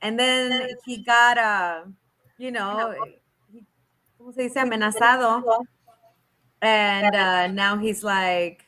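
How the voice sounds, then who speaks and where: A woman's voice: medium pitch, steady tone, takes it slowly, long pauses, bright, forceful tone, clean tone. A woman hosting a podcast, talking casually and emphatically, giving her opinion and dropping in Spanish words. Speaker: podcast host. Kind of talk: casual chat.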